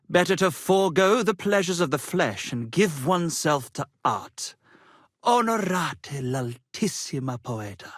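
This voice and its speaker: Pompous, swooping poet. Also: male voice, sits high, a bit bright, no room sound, studio quality, heavily acted. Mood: dramatic lament. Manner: flowery, grandiloquent, melodramatic. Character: pompous, florid wandering poet-musician, vain and theatrical young man. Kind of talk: theatrical medieval video game dialogue.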